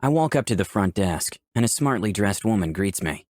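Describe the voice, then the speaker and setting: A man's voice: lowish voice, lively intonation, brisk, a little mellow, dry recording, clean studio sound, close to an everyday voice. A man reading as a flirty, cocky personification of Lust, narrating a visual novel in a musing, theatrical way. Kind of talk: first-person visual novel read aloud.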